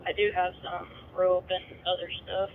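Male responder, high monotone voice, thin scanner-radio audio. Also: normal speed, narrow, tinny audio, slight background noise. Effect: two-way radio. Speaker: emergency responder in the field. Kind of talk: terse radio traffic.

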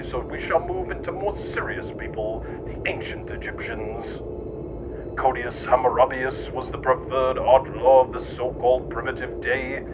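Mock-pompous man narrating through muffled rumble. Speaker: narrator and writer. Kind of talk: scripted comedic mock-history narration. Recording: muffled with clear rumbling noise.

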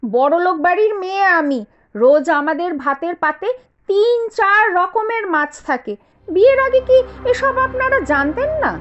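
A woman voicing a spoiled, sharp-tongued young daughter-in-law from a rich family in a cartoon, scornful, complaining and demanding. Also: very high-pitched, lively intonation, moderate pace. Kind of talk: Bengali cartoon voice acting. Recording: dull lo-fi audio with music behind.

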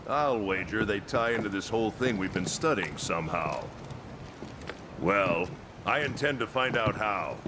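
An actor playing a gruff, jovial veteran admiral in a video game cutscene, hearty and boastful with frequent chuckles. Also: male voice, on the low side, lively intonation, a little slow, noisy background. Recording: game cutscene with loud music.

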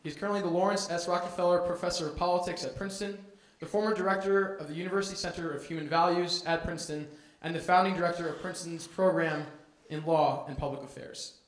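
A young college man reads speaker introductions from his notes, loud and a little high in pitch. The lecture hall around him gives his voice a roomy echo. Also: steady tone, quick pace, hardly any pauses. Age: young adult. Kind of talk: reading formal introductions. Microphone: handheld microphone at a lectern.

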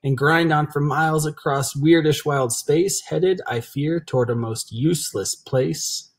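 A man's voice: a little high, unhurried, husky. A man reading a rhyming picture book aloud. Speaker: volunteer reader.

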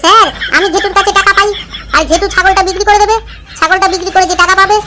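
Squeaky, racing cartoon pupil. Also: female voice, fairly even intonation, racing, background noise. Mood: cheeky, glib. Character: cheeky squeaky young pupil, comedy cartoon. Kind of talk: cartoon comedy voice acting.

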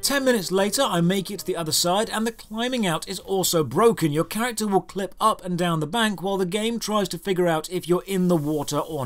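High voiced guy doing a scripted game review, sarcastic and fed up, punches key words. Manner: sardonic, exasperated.

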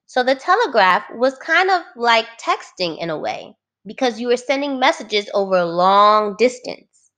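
A woman teacher reading a children's book aloud, phrasing it clearly and adding simple explanations for young listeners. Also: on the high side, very animated, moderate pace, hardly any pauses, a bit bright.

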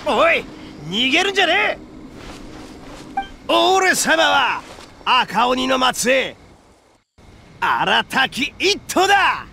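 Rowdy boastful oni bruiser in a game, gravelly and high, swings wildly in pitch. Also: male voice, big pitch swings, slow pace, pauses often, bright, forceful tone, a little hiss or hum, heavily acted. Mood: battle shouts, then proud, indignant. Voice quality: gravelly, swaggering rough speech. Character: brash boastful male oni brawler, rowdy and proud. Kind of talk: Japanese game voice acting. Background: game music.

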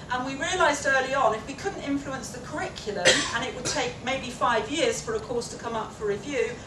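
A woman speaks from a lectern in a large lecture room, explaining her points steadily, with a filler here and there. Her voice sits a little high. It reaches the mic from a distance, and the room echoes, with a clear hum of ambience underneath. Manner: measured, explanatory, with occasional fillers. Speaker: keynote speaker. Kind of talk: conference keynote speech.

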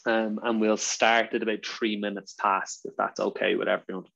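A man with a strong Irish accent, chatting casually as a webinar presenter, talking fast with lots of fillers. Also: on the low side, level tone, creaky, a bit bright, muffled recording. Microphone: video call.

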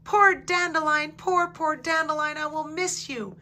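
A woman reading a picture book aloud, voicing an eager, kind little male sparrow in a high, excitable voice. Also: a little slow, full character voice. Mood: sorrowful.

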